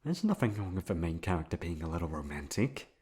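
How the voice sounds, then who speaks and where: A man playing an obsessive, lovesick young man in an intimate ASMR roleplay, coaxing softly with drawn-out words and emphatic repetition. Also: deep voice, big pitch swings. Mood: defensive, insistent. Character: obsessive lovesick young man confessing to his best friend.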